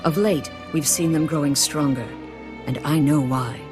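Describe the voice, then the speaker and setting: An actor playing a humble, solemn village healer-priest in a video game, formal, courteous and ominous. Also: male voice, a little high, fairly even intonation, moderate pace, music in the background, close to an everyday voice. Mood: solemn urgency. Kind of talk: video game dialogue acting.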